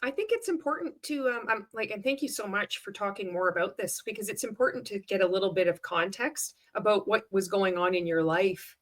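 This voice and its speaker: A woman's voice: mid-range voice, fairly quick, few pauses. A woman hosting a casual interview, playful and supportive. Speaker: host.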